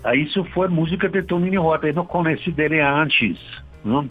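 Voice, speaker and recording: Halting man with a husky voice. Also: fairly high pitch, soft background music. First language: not Portuguese. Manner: halting, searching for words. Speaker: guest musician. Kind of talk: radio interview. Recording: dull radio broadcast sound.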